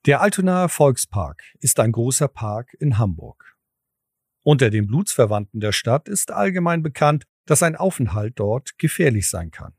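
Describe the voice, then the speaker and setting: A man's voice: medium pitch, big pitch swings, normal speed, slightly rough, no room sound, studio quality. A man narrating a scripted audio drama in the role of storyteller. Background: background music.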